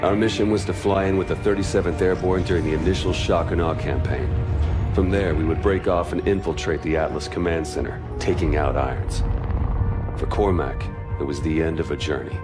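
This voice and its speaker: An extremely deep, grave soldier's voice, a man acting narrates a military game story. He is measured and matter-of-fact, with very loud music underneath. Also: close to an everyday voice. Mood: grave, reflective. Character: male soldier recounting a war, grave and measured.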